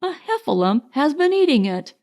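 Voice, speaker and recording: A woman voicing a slow-witted, rueful, honey-loving storybook bear in a murmuring, singsong way. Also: sits high, big pitch swings, a little mellow, voice pushed up. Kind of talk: storybook character voice.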